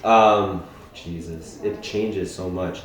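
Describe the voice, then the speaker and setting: A young man from Hawaii, a filmmaker being interviewed, speaking thoughtfully with many pauses and restarts. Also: low-pitched, steady tone. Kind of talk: casual interview talk. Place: festival venue indoors. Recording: very echoey room.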